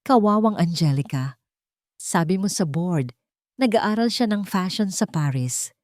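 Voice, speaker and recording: A woman's voice: lowish voice, lively intonation, a little mellow, no echo, studio quality. A woman voicing a menacing, scheming rich aunt in a dramatic audio love story, taunting and theatrical. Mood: cruel taunting. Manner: mocking, taunting, slow theatrical delivery.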